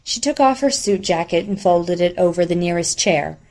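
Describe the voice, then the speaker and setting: A woman narrates a novel for an audiobook. Her voice is a little lower than most women's, and she reads scripted prose. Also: animated, moderate pace, a little mellow.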